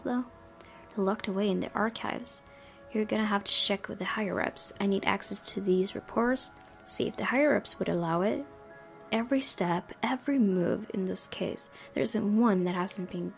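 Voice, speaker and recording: A woman streamer reads a visual novel's narration aloud with dramatic expression and casual asides. Her mid-pitched voice has a noticeable fry to it. Faint music plays behind her. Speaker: game streamer.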